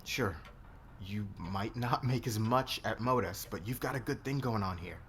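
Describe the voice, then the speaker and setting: A man playing a domineering, bitter boss in an ASMR roleplay, speaking deliberately with intimate menace. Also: fairly low pitch, a bit bright, close to an everyday voice. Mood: stern, scornful. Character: domineering, bitter married male boss being blackmailed and seduced. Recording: clear background noise.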